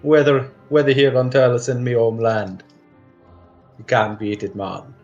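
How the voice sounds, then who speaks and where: A man's voice: mid-range voice, normal speed, a little mellow, lo-fi sound, soft background music, natural voice. Man putting on a laid-back Jamaican lizard islander. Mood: cheerful, proud. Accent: put-on Jamaican. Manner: laid-back, chatty, says "man" and "you know". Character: friendly lizard folk islander who loves whiskey and sunshine.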